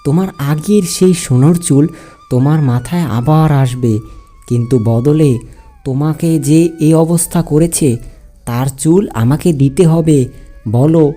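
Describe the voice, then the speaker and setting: Magic tree spirit for a cartoon male performer, stern yet kindly, solemn, very mellow, music underneath. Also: a little high, unhurried. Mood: solemn bargaining. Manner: solemn, declarative, repeating phrases.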